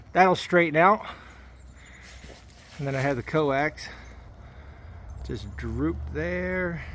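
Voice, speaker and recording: A man with a slightly high voice talks casually through a hobby radio demonstration. He narrates informally as he goes, like a home vlogger. The handheld recording has clear background noise.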